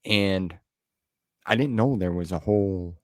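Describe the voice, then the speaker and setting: A man's voice: low-pitched, a little slow, no room sound, clean studio sound. An American man in his forties hosting a casual interview in an effusive, folksy, enthusiastic way. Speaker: podcast host and interviewer.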